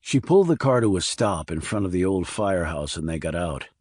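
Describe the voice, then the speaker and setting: A man narrates a crime thriller audiobook. His voice sits on the low side. The recording is dry, with hardly any room around the voice. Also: expressive, a little fast, a little mellow, slightly rough, no room sound.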